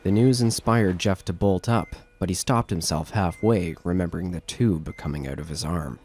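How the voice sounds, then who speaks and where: A man's voice: deep voice, moderate pace, darkish tone, husky. A man giving scripted narration of a horror story as the narrator of an audio drama. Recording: music bed under narration.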